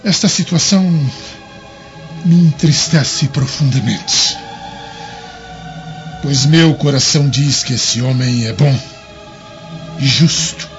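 An actor playing a troubled, conflicted Roman governor in a radio drama, speaking in a solemn, declamatory way. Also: male voice, medium pitch, slow pace, pauses often, close to an everyday voice. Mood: sorrowful, grave. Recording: dull lo-fi with music behind.

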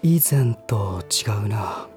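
A man acting a polite, reserved, bashful lover in a Japanese voice drama, with soft, refined, slightly formal speech. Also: lowish voice, expressive, drawn-out words, over music. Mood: moved, gentle.

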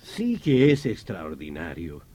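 Plotting henchman, radio drama, husky voice, wildly varied intonation, eager. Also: sits low, huge pitch swings, slow, warm tone, heavily acted. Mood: amused. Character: eager henchman in a secret plot.

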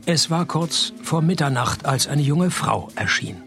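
An actor playing a jaded private detective in a radio play, with a dry, sardonic, deadpan delivery. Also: male voice, a little high, measured pace, soft background music. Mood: dry narration. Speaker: detective narrator and lead. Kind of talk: radio play narration and dialogue.

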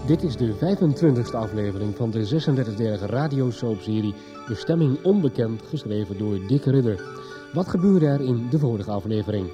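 A man's voice: medium pitch, lively intonation, brisk, music in the background. A man narrating a scripted recap for a radio soap. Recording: dull, band-limited 1990s radio recording.

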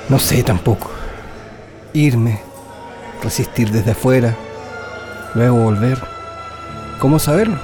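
A man's voice: mid-range voice, takes it slowly, long pauses, music in the background, natural voice. Resolute prisoner comrade acted by a man. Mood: pensive. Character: resolute, questioning male political prisoner comrade. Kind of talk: radio drama acting.

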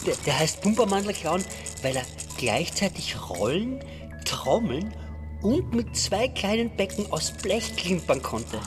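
A man's voice: high voice, very animated, a little slow, over music. An actor playing an anxious, clinging man of about forty in a radio crime drama, childlike and whiny in manner. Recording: long reverb of an echoing room.

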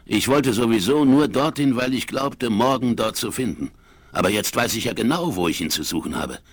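An actor playing a grizzled, stubborn old frontiersman in a German western radio play, with a rough, folksy, emphatic delivery. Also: medium pitch, expressive, moderate pace, dry recording. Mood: eager, determined. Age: older. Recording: 1970s vinyl radio play.

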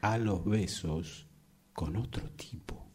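Man acting deep-voiced, hesitant Argentine gossip. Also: mellow, slight background noise. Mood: hesitant, gossipy. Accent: Rioplatense Argentine. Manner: halting, stammering, hedging. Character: hesitant, evasive man breaking awkward gossip to a friend. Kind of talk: radio drama workshop dialogue.

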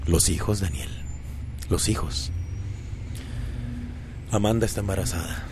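Low-voiced dejected man over background noise. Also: measured pace. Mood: resigned. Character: dejected, resigned father and jilted lover. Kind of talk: radio soap opera acting.

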